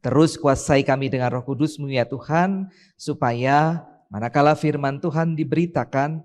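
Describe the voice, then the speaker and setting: A man's voice: mid-range voice, darkish tone. A man leading an online church service, praying solemnly and then reading scripture aloud, lifting his voice on key words. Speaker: pastor leading worship. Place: church sanctuary. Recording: church hall reverb.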